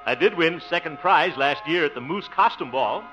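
A man's voice: a little high, lively intonation, bright, forceful tone, faint music behind. An American man acting in a 1950s radio comedy as a bashful, flustered middle-aged bachelor who stammers and chuckles, on an old muffled recording. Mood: bashful, giddy. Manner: stammering, chuckling bluster, drawn-out words.

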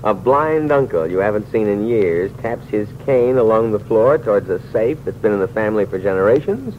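Guy doing sardonic rich heir, taunting, lowish voice that swings around a lot, old dull radio recording. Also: lively intonation, brisk, close to an everyday voice. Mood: amused, matter-of-fact. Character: dying rich heir, sardonic, goading, fatalistic man. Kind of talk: 1940s radio drama acting. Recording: muffled old radio recording, faint noise.

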